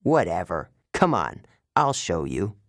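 A man doing a husky, sneering bully girl. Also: sits low, very animated, unhurried, dry recording, clean studio sound, pitched below the performer's own voice, heavily acted. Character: big tough teenage girl bully, sneering.

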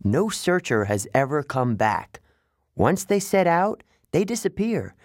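A man voicing an earnest, idealistic young male satyr who sounds wistful and quick to take offense. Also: on the high side, sing-song, no room sound, studio quality, voice pushed up. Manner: wistful, indignant about pollution.

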